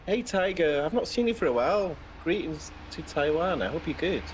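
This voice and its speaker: A man's voice: fairly high pitch, animated, a little fast, noisy background. A Welsh man with some northern English in his accent gives casual, chatty live aviation commentary, greeting viewers in a friendly way. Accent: Welsh with northern English influence. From Wales. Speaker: live stream commentator and host.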